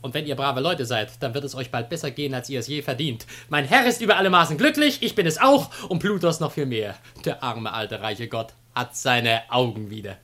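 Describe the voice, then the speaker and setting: An actor playing a cheeky, boastful slave in a classical comedy radio drama, telling tales with exuberant theatrical relish. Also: male voice, medium pitch, brisk, few pauses, sharp tone. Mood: jubilant, cheeky.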